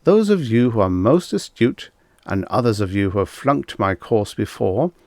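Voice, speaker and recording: A pompous male professor, as an audiobook narrator plays him, lectures his class with deliberate emphasis. His voice swings widely in pitch and has a gravelly creak. The sound is close and dry, in a storybook style. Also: sing-song, a little mellow, no room sound. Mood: pompous, didactic. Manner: didactic, declamatory, deliberate emphasis on figures.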